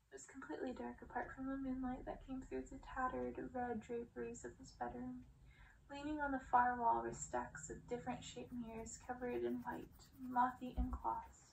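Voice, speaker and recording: A woman reading a fantasy storybook aloud as scripted ASMR narration, with a creaky voice. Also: fairly high pitch, a little hiss or hum, rough recording. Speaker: storybook reader, ASMR creator.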